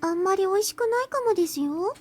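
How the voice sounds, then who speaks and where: A cute schoolgirl junior in a visual novel, with an extremely high voice and very rapid delivery. She is devoted to her senior and can turn a little pouty. Music plays behind her lines. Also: lively intonation. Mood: cute, slightly pouty. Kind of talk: Japanese visual novel voice acting.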